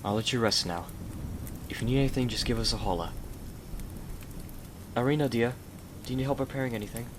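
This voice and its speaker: A man playing a gallant, devoted gentleman warrior in an ASMR roleplay, gentle and earnest, with formal, courtly endearments. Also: medium pitch, a little fast, long pauses, background noise, close to an everyday voice. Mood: kindly, earnest.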